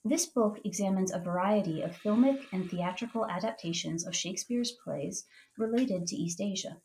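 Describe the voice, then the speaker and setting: A woman hosting a book podcast, reading a measured prepared introduction and then asking interview questions. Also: medium pitch, darkish tone, a little hiss or hum, low-quality recording. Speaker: podcast host.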